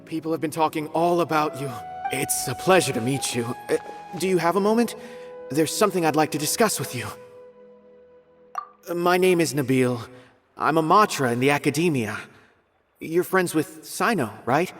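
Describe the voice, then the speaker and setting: A man's voice: a little high, animated, normal speed, long pauses, natural voice. A man playing a polite young scholar. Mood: earnest. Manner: polite, earnest, slightly hesitant. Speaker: scholar asking for help. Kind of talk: video game voice acting. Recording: game audio with music behind.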